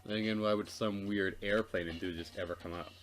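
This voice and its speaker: A man's voice: on the low side, steady tone, fairly quick, soft background music. A man co-hosting a let's play, reading game text aloud and chatting casually with dry, joking asides. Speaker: let's play co-host and reader.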